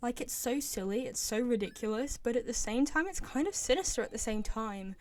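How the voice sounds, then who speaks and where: A young woman speaks in a fairly high voice as a fan host. She is chatty and informal, giving her opinions with open enthusiasm in casual commentary. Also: lively intonation, fairly quick.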